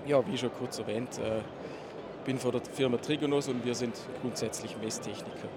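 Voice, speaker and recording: A man with an Austrian accent gives an informal technical presentation as a surveyor, speaking haltingly and holding back his dialect. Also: mid-range voice, fairly even intonation. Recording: loud background noise, live talk.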